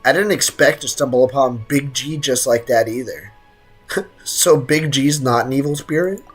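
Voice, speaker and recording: Casting: female game streamer, very deep voice, slangy and teasing, slightly sick throat, faint music. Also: normal speed, breathy edge. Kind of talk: casual live gameplay commentary.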